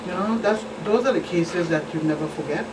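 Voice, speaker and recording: A woman's voice: fairly low pitch, fairly quick. A woman with a Virgin Islands accent speaking as a police expert on a talk show, explaining things plainly and with emphatic repetition. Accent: Virgin Islands Caribbean. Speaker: police officer, expert guest. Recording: distant mic, clearly noisy room with hum.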